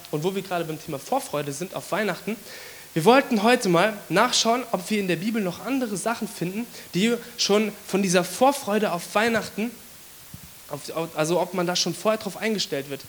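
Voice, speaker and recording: A man with a hoarse voice from a cold, giving a casual, chatty sermon to his congregation with many fillers. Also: high voice, moderate pace, a little hiss or hum. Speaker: preacher.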